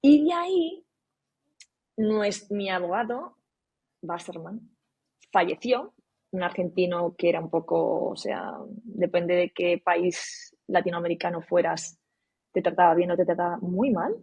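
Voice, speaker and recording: A woman's voice: fairly low pitch, measured pace, darkish tone, husky, rough recording. A woman from Spain, a podcast guest, talking in a casual interview and often restarting and repeating words for emphasis. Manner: frequent restarts, emphatic repetition.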